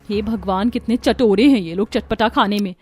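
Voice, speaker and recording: A woman's voice: medium pitch, moderate pace, husky, close to an everyday voice. A woman voicing a harried, resentful young daughter-in-law in a family cartoon, with an exasperated, complaining manner. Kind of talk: Hindi family cartoon dubbing.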